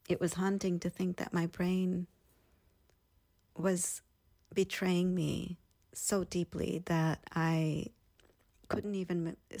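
A woman's voice: on the low side, gravelly, mellow, no echo. A woman in her thirties, earnestly and reflectively telling her own story as a guest in an interview. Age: 30s.

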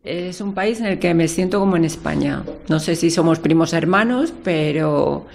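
A woman's voice: low voice, normal speed, gravelly, a little mellow, a little hiss or hum. A woman in her fifties from Spain, an airline captain chatting as a radio guest about travel.

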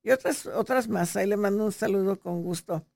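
A woman's voice: medium pitch, fairly quick, creaky, darkish tone, dry recording, clean studio sound. A woman hosting a radio show, sending chatty, playful greetings to listeners. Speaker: radio host.

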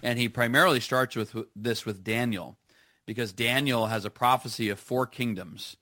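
A man's voice: mid-range voice, moderate pace, bright, forceful tone, no echo, clean studio sound. A man as a guest on a live radio show, chatting enthusiastically with plenty of fillers and restarts. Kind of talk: casual radio conversation.

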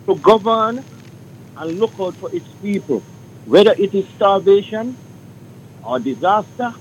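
A man calling in to a radio show, speaking slowly and emphatically. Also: lively intonation. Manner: slow, deliberate, emphatic. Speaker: caller. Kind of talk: call-in radio comment. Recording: muffled phone line with rumble.

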